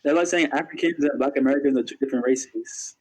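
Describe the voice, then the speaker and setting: Fast-talking assertive young man. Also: medium pitch, darkish tone, poor audio. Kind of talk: casual discussion.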